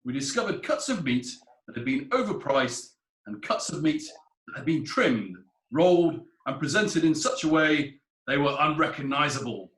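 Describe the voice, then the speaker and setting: An actor playing an indignant, pompous food inspector giving evidence in a period courtroom play, with emphatic delivery. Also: male voice, fairly high pitch, expressive, normal speed, a bit bright, echoey room, low-quality recording. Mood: pompous, disdainful. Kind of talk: theatrical courtroom play acting.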